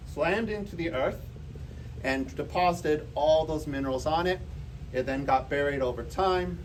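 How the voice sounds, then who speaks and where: A man giving an informal public lecture, explaining things in a conversational way to a live audience. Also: a little high. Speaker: presenter giving a geology talk. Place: indoor room before a live audience. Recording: roomy, distant mic, faint background noise.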